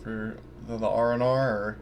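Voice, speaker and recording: Male tabletop player, medium-low voice, casual and tentative, background noise on an online call. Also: little pitch movement, normal speed. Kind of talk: casual game-session chat. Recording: noisy voice-call audio.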